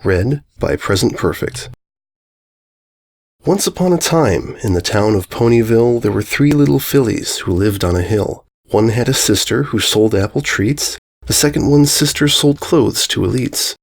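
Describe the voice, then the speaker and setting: Animated man reading a rhyming storybook. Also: mid-range voice, vocal fry. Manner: measured singsong cadence. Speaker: story reader. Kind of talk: rhyming children's storybook narration.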